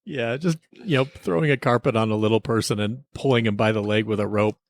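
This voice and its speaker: A man's voice: fairly low pitch, a little fast, dry recording. A man co-hosting a casual review chat, talking as an enthusiastic fan. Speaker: podcast co-host reviewing TV episodes.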